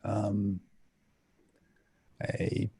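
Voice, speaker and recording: Male panelist from Ethiopia, low and mellow, deliberate reflective delivery with emphatic passages. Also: monotone, gravelly, husky, no room sound.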